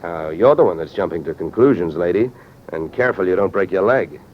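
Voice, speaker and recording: Deep-voiced wisecracking radio detective. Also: male voice, animated, brisk, slight background noise. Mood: cool, needling. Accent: American. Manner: wry, clipped, sardonic. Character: wisecracking hard-boiled male insurance investigator. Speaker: lead actor and narrator. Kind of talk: 1940s-50s radio detective acting. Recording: dull old radio recording with music.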